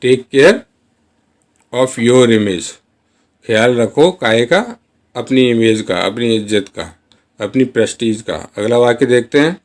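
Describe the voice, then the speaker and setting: A man teaching spoken English in a chatty, casual way, reading each example sentence very slowly and word by word. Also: mid-range voice. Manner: chatty, addresses viewers as guys. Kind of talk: Hindi-medium spoken English lesson.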